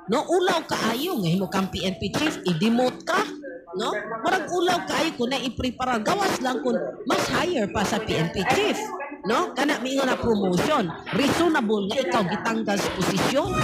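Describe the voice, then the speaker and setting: A Filipino woman from the Davao Bisaya accent region delivers conversational radio news commentary in a fairly high voice. She is informal and opinionated, as if talking to a co-anchor. Loud music plays under the FM broadcast. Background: loud music bed.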